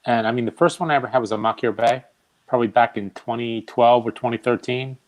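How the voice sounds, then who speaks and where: A man's voice: mid-range voice, fairly even intonation, quick pace, poor audio. A man from the United States, chatting as a guest on a live whisky stream. He has a dry, teasing, laid-back way of talking. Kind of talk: casual live-stream chat.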